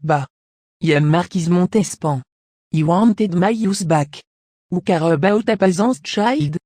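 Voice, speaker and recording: Woman playing a scornful noblewoman, shrill, hissing, mellow low voice, dry sound. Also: takes it slowly, dry recording. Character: vain, bitter former French noblewoman.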